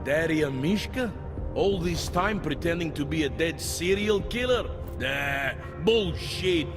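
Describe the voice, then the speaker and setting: A man's voice: on the high side, lively intonation, slow pace. An actor playing a suspicious, bitter male police detective in a video game, blunt and accusing in delivery. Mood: bitter disbelief. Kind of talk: video game cutscene acting. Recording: loud music underneath. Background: loud music.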